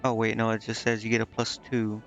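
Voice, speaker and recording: Creaky-voiced man muttering through a game stream. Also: lowish voice, completely flat delivery, a little fast, a bit bright, soft background music. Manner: muttering indecisively to himself. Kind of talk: casual live game commentary, thinking aloud.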